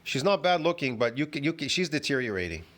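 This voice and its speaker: A man's voice: fairly high pitch, sing-song, fast, a bit bright. Rambling, mocking man commentator. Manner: rambling, repetitive, mocking, says "get it?" and "bro" a lot. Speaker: host reacting to a video clip.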